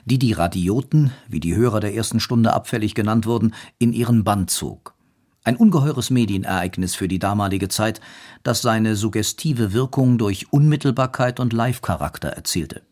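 Low-pitched male documentary narrator. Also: moderate pace, a little mellow. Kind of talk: scripted narration.

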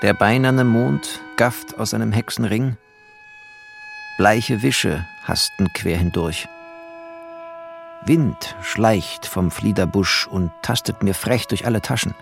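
Sardonic bookish man, gravelly narrator. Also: lowish voice, soft background music. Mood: brooding, poetic. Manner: deliberate, poetic, biting. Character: bookish, sardonic male refugee narrator in postwar Germany. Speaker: narrator and male lead. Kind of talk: literary radio play narration with dialogue.

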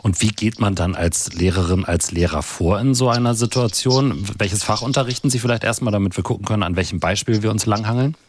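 A man hosting a call-in radio show, calm and attentive, with short listening sounds. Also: fairly low pitch, brisk, hardly any pauses, a little hiss or hum. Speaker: radio host.

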